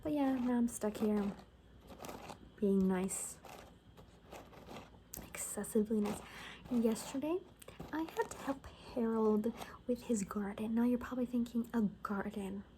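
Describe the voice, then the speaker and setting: A woman speaks softly in a relaxed, mellow voice that often slips into a whisper. She tells sassy, sarcastic gossip like a chatty survivor in an ASMR roleplay. A light layer of background noise sits behind her. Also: on the high side, measured pace, long pauses, poor audio. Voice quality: soft, often dropping to a whisper. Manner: casual, sarcastic, gossipy storytelling. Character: sassy gossipy female apocalypse survivor giving a haircut.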